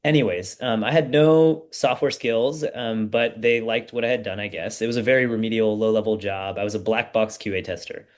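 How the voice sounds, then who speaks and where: A man in his thirties from the United States, a startup founder guest telling his story in a relaxed, casual way with plenty of fillers. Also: on the low side, a little fast, hardly any pauses. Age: 30s. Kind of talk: casual podcast interview.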